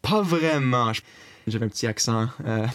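A man's voice: medium pitch, normal speed, fairly bright tone. Hesitant young man, Franco-Ontarian accent. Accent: Franco-Ontarian French. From Eastern Ontario, Canada. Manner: hesitant, many fillers and restarts. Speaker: interviewee, younger brother. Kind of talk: casual recorded conversation.

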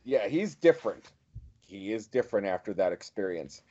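A man's voice: lowish voice, expressive, moderate pace, warm tone, highs cut off. A man co-hosting a podcast, speaking in a casual, conversational style. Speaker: podcast co-host. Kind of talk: casual discussion, reading excerpts aloud.

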